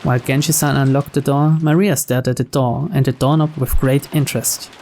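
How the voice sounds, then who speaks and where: A man's voice: medium pitch, steady tone, fairly quick, a little mellow. Man narrating a story, faint background noise. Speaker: narrator reading a visual novel aloud.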